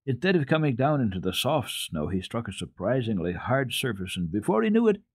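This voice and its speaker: A man giving an expressive, dramatic reading of classic children's literature as a radio storyteller, with deliberate phrasing. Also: mid-range voice, breathy edge, dry recording, clean studio sound.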